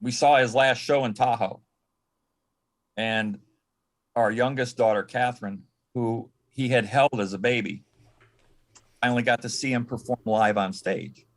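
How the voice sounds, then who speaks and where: An American man from Michigan reminiscing as a panel guest in a halting, reflective way, often repeating words. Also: medium pitch, steady tone. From Michigan, United States. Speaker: panel guest, former assistant to an entertainer.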